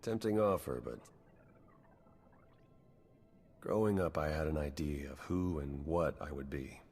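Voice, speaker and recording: A man's voice: a bass voice, normal speed, a little mellow, breathy edge, full character voice. A man playing a loyal, world-weary intelligence agent in a video game, with plain-spoken, clipped delivery. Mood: resolute. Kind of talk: video game dialogue acting.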